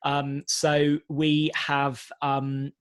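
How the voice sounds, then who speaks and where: A man with a fairly high voice and very even intonation talks on a panel. He explains things unscripted, hesitating and doubling back on words. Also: very slow, smooth, no echo. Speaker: prison radio organisation representative on a panel. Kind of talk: spontaneous panel talk.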